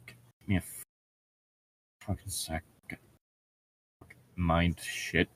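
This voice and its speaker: A man's voice: sits low, level tone, drawn-out words, fairly bright tone, low-quality recording. A man bantering casually as he reads a novel aloud, swearing freely and heckling the text. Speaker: co-host of a comedic read-aloud show.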